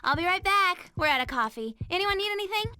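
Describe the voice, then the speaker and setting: A woman playing a kind, gentle young florist in a cartoon game, friendly and soft-hearted, with loud insect ambience. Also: extremely high pitch, expressive, brisk, exaggerated voice. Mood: casual, concerned.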